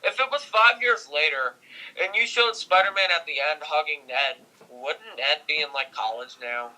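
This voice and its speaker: A man's voice: a little high, normal speed, sharp tone, low-quality recording. A young man in casual film discussion, opinionated and insistent, often jumping in over the other speaker.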